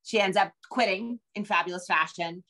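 A woman's voice: medium pitch, normal speed, a bit bright. Middle-aged American woman, rambling interview guest. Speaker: interview guest, novelist and screenwriter. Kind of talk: casual interview chat. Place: home room with bookshelves. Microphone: video call.

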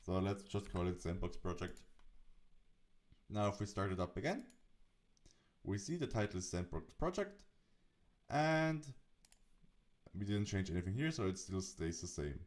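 A man presenting a software tutorial, narrating the setup step by step. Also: sits low, animated, normal speed, pauses often.

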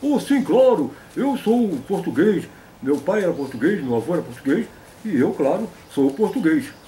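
A man's voice: on the high side, big pitch swings, normal speed, warm tone, slight background noise, low-quality recording. A man voicing a boastful, pompous old grandpa puppet in a comic interview. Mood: cheerful, proud. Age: older. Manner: pompous, folksy, repeats a stock affirmation.

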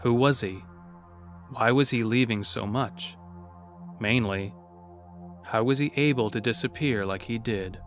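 A man's voice: medium pitch, unhurried, pauses often. A man narrating a scripted horror story. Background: music.

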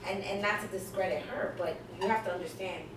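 A woman's voice: medium pitch, fast. A woman in the audience of a conference, commenting earnestly and telling a personal story. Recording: echoey room from far off, noisy.

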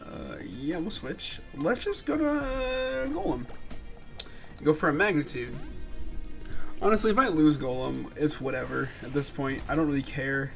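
A man's voice: a little high, big pitch swings, measured pace, creaky, a bit bright. A young man with a stuffy voice and a sore throat, chatting casually and muttering in frustration as he plays through a game. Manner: laid-back, swearing, frustrated muttering. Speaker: gaming channel host. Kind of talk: casual let's-play commentary. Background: game music.

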